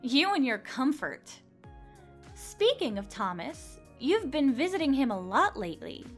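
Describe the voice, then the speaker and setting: A woman's voice: sits high, sing-song, measured pace, soft background music, full character voice. A woman playing a chatty, nosy and teasing young best friend in an audio drama.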